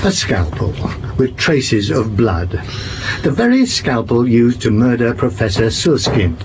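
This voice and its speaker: A man's voice: mid-range voice, sing-song, measured pace. A man playing a cocksure, blustering old police commissioner in an audio drama. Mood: triumphant, menacing. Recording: muffled, noisy vehicle ambience behind.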